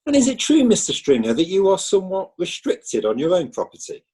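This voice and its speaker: A persuasive defence lawyer, played by a man steering witnesses with leading questions. His voice is husky and mellow, with a lively pitch. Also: fairly quick, heavily acted. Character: smooth defending male lawyer. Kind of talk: amateur radio drama.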